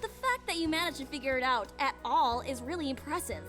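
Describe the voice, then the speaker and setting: A high-voiced teenage girl, reasonable but blunt and no-nonsense. It is visual novel voice acting, with music underneath. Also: animated, a little fast, fairly bright tone. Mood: matter-of-fact.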